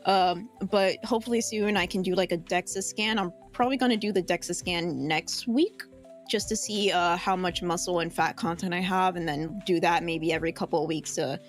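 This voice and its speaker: A woman's voice: lowish voice, fairly even intonation, a little fast, soft background music. A young adult woman co-hosting a podcast, chatting casually with lots of filler words. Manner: chatty, lots of ums and likes. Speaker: podcast co-host. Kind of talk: casual chat.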